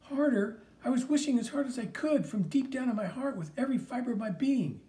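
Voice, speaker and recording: A man reading an audiobook, voicing an eager, doubtful young boy in a higher, questioning voice. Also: lively intonation, fairly quick, warm tone, far from the mic. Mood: boyish.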